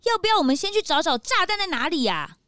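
A fretful, excitable passenger, exclaims in an extremely high, swooping voice. It is a children's audio drama, with clear noise in the recording. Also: female voice, fast talker. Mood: worried. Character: anxious, squeaky, excitable cruise passenger.

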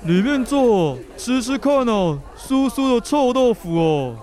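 A man's voice: extremely high pitch, lively intonation, takes it slowly, a little mellow, exaggerated voice. A male performer playing a welcoming night market food vendor calling out in a children's radio drama. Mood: inviting.

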